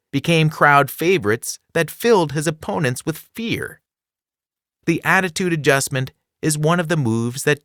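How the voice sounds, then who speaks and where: A man narrating a scripted children's non-fiction book in an expressive, clearly enunciated storytelling delivery. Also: medium pitch, no room sound, clean studio sound. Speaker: audiobook narrator.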